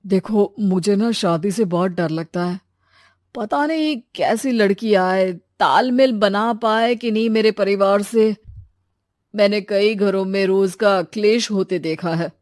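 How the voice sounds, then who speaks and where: A woman voicing a calm, sensible young man in a cartoon, the would-be groom, who speaks up persuasively for the bride's idea. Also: medium pitch, slow pace, dry recording, studio quality, lightly acted. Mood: earnest. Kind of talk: cartoon voice acting.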